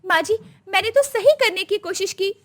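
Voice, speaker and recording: A young modern daughter-in-law from a family storybook drama, played by a woman. She sounds very high and lively, earnest, outspoken and caring. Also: moderate pace.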